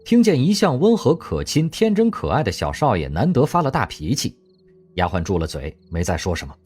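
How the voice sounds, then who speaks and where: A man narrating an audio drama with expressive storytelling. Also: mid-range voice, fairly quick, breathy edge. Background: faint music.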